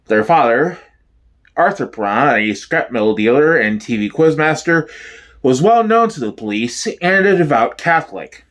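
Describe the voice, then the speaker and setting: A man giving a dramatic, deadpan reading as the narrator of a mock documentary. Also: mid-range voice, normal speed. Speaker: solo performer, narrator.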